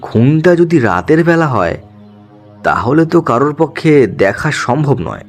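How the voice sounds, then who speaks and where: A man's voice: a little high, sing-song, measured pace, soft background music. A man playing a skeptical police officer in an audio drama, formal, matter-of-fact and authoritative. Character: businesslike male police officer, brisk and skeptical.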